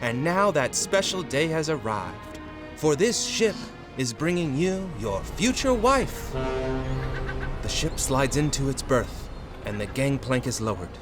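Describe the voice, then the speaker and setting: Male storyteller, theatrical and suspenseful horror comic narration, very expressive pitch, music bed. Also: unhurried. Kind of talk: dramatic second-person horror comic narration. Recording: music behind the voice.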